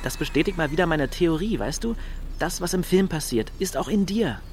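A man's voice: on the high side, animated, a little fast, a little hiss or hum. An actor playing a cocky, scheming young small-town man in a radio drama, talking in a slangy, pushy, offhand way. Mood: scheming, goading. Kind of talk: naturalistic radio drama acting in German.

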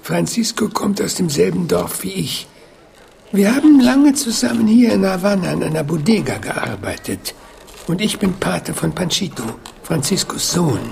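An actor playing a taciturn, melancholy old Chinese immigrant in a radio drama, speaking in short, halting phrases with repeated words. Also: male voice, high voice, animated, gravelly, slight background noise, full character voice. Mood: matter-of-fact. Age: older. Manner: terse, halting, repeats words, simple sentences. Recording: loud music behind the voice.

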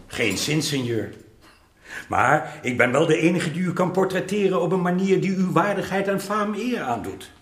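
A man acting a proud, bossy old-Amsterdam master painter in a radio drama, commanding and theatrical, smooth-talking with clients. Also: high-pitched, expressive, moderate pace. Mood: proud, persuasive. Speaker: actor. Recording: roomy radio play studio sound.

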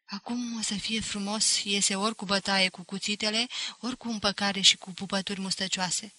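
Woman as a bright-voiced, gossipy village woman. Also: mid-range voice, dry recording. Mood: confiding, gleeful. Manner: confiding, sly, emphatic. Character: gossipy, knowing village woman confiding local scandal. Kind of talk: audiobook character acting.

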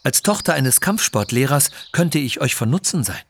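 A man's voice: mid-range voice, sing-song, normal speed, slightly rough. A man voicing a bold, determined young woman who fights with martial arts in a children's adventure story. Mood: confident.

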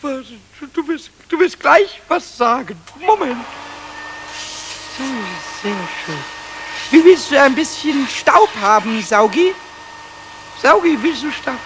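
A man voicing a cheeky, childlike little kobold in a 1970s children's radio play, squeaky and stammering in excited repetitions. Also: slow, pauses often, slight background noise, exaggerated voice. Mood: tipsy, giddy. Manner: stammering, repeats words excitedly.

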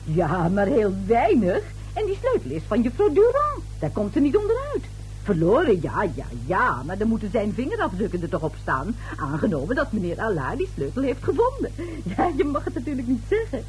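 High, swooping, tipsy gossip lady. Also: wildly swooping pitch, a little fast, darkish tone, faint music behind, rough recording. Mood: gossipy, knowing. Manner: chatty, giggly, rambling. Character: gossipy, tipsy, self-important neighbour lady, giggly and coy. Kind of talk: radio play acting. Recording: old radio drama recording.

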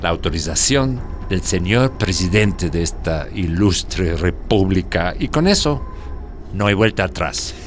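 An actor playing a greedy, self-assured foreign company manager in a radio drama. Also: male voice, sits low, lively intonation, a little slow, slight background noise. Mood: smug.

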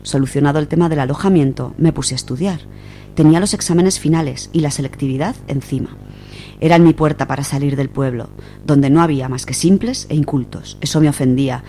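A woman's voice: sits low, brisk, warm tone, slight background noise. A woman with an accent from Spain, presenting and reading on a literary radio segment. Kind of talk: radio talk and reading of a first-person short story.

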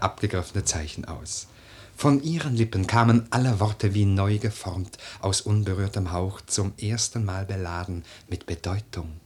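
A deep, husky young nobleman in mourning. He declaims lyrical radio-play verse slowly, with brooding intensity. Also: a little mellow. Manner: declamatory, lyrical, slow and deliberate. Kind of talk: theatrical German verse radio drama.

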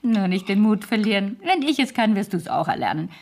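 A woman's voice: mid-range voice, lively intonation, brisk, a little mellow. An actress playing a worldly, imperious older great-aunt in a radio play, grand and exacting as she instructs. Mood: brisk, instructing. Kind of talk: 1970s German radio play acting.

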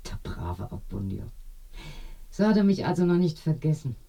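Chatty old village woman in a radio drama, husky n mellow, very lively pitch, keeps wandering off in her stories. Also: normal speed, heavily acted. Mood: chatty, confiding. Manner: garrulous, digressing storyteller. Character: talkative older village woman, reminiscing and confiding. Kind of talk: German radio play acting.

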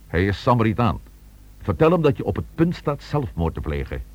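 An actor playing a commanding, determined police chief with a terse, authoritative manner in a Dutch radio play. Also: male voice, on the low side, big pitch swings, a little fast, darkish tone. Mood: insistent, commanding.

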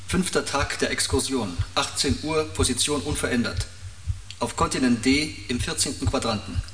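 A man's voice: medium pitch, a bit bright, echoey room, a little hiss or hum, low-quality recording. A man playing a curt, dismissive field explorer in a radio play, calling in over a radio with a clipped, official delivery.

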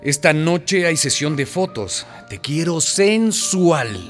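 Sweet-talking bossy boyfriend, drawn out and very up-and-down, radio drama. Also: male voice, fairly high pitch, expressive, slow pace, soft background music. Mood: manipulative, bossy. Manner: coaxing, domineering. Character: smooth-talking, manipulative, freeloading boyfriend of a young woman.

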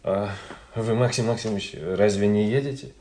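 An actor playing a reserved, polite gentleman traveller who narrates and speaks in a literary radio play, measured and reflective. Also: lowish voice, fairly even intonation, close to an everyday voice. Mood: restrained courtesy. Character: reserved, courteous gentleman traveller telling his story. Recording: noisy old radio drama recording.

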